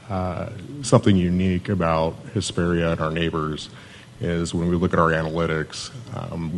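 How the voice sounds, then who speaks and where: Deep monotone man pitching his city. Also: a little hiss or hum. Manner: self-described monotone, steady with fillers. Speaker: economic development manager. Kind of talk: conference pitch presentation.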